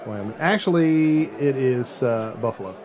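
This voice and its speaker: A man's voice: mid-range voice, expressive, moderate pace, background noise. Joking male podcast host amid group chatter. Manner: joking, teasing banter. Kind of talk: casual chat, reading listener mail.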